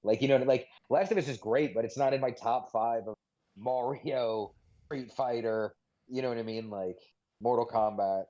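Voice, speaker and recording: A man's voice: mid-range voice, moderate pace, dark tone. A man co-hosting a podcast in casual chat, informally qualifying his opinions as he goes. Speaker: podcast co-host. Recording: slightly dull, lo-fi audio.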